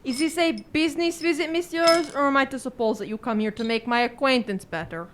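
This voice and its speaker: A woman playing a proud, courteous but dismissive French police inspector in a radio drama, with formal, deliberate politeness. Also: high-pitched, fairly bright tone, slight background noise. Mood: courteous, smug.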